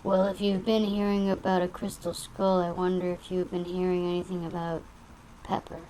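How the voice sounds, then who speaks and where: An actress playing a cool, worldly femme fatale in a noir detective audio drama, speaking in a dry, measured, theatrical way. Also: female voice, fairly low pitch, completely flat delivery, dark tone, slight background noise, close to an everyday voice, low-quality recording. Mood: grave, knowing.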